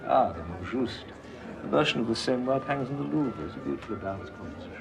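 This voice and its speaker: Posh art buff lecturing on paintings, gravelly, animated, music under. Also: male voice, mid-range voice, quick pace. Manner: cultured, lecturing, effusive about art. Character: refined male art expert lecturing on paintings. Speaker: gallery guide. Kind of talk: 1980s British TV drama acting.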